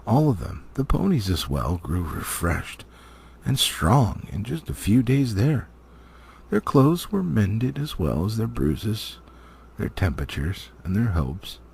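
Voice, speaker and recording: A man narrating a storybook as an amateur reader, with dramatic, deliberate phrasing. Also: mid-range voice, creaky, darkish tone, husky.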